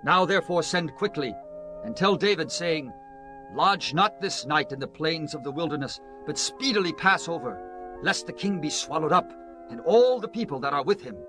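An actor playing an earnest, persuasive counselor in ancient Israel, speaking in measured oratory in a dramatized scripture reading. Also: male voice, a little high, expressive, natural voice. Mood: urgent. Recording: music playing behind the voice.

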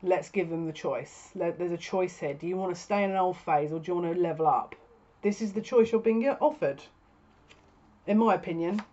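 A woman's voice: fairly low pitch, a little fast, warm tone, slight background noise. Woman giving a tarot reading, dull audio. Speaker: psychic tarot reader. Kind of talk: casual spoken tarot reading to camera. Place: small furnished room at home, indoors. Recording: dull lo-fi webcam sound.

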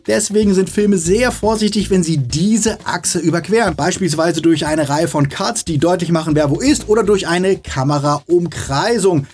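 Male video essayist, forties, high and rough-edged voice, animated and exasperated scripted film breakdown. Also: normal speed, few pauses. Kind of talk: scripted film-analysis commentary.

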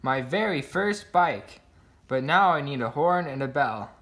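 A man's voice: medium pitch, expressive, a little slow, a bit bright. A man voicing an eager young boy frog who whoops and exclaims, as in a picture book. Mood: eager, insistent.

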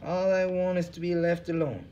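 A man's voice: normal speed, dark tone, a little hiss or hum, lightly acted. Man putting on a listless genteel drifter. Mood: weary. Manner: listless, self-pitying, raised pitch. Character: weary genteel male drifter, listless and mournful.

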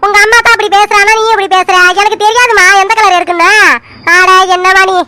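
Woman doing a bossy old mother-in-law, high and bright, brushes everyone off. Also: fairly even intonation, fairly quick, dry recording. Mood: scoffing. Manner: scolding, dismissive. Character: elderly mother-in-law, proud and blunt.

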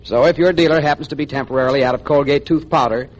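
A man's voice: on the high side, quick pace, muffled recording. A man announcing a 1940s radio advertisement as an emphatic, friendly salesman. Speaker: commercial announcer.

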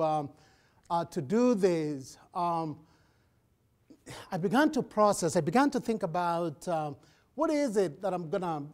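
A middle-aged man with a Kenyan accent giving a public lecture in a hall, restless and animated, full of fillers and restarts. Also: high voice. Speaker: guest lecturer.

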